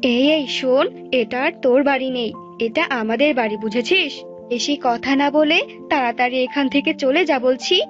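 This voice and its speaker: A woman's voice: sits high, normal speed, lightly acted. Woman doing a gloating, greedy husband bird. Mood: bossy, threatening. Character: greedy, scheming married male bird, gloating and bossy. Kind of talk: Bengali cartoon bird-fable acting. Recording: dull lo-fi audio with music.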